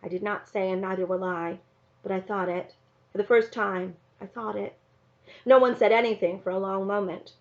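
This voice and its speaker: A woman reading a children's novel aloud in an expressive narrating style. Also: medium pitch, normal speed, gravelly. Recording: slightly dull, lo-fi sound.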